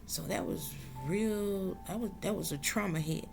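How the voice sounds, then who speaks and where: A woman's voice: medium pitch, measured pace, gravelly, music in the background. A woman from South Carolina, talking earnestly and unhurriedly in a reflective vlog monologue. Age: adult. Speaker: vlogger.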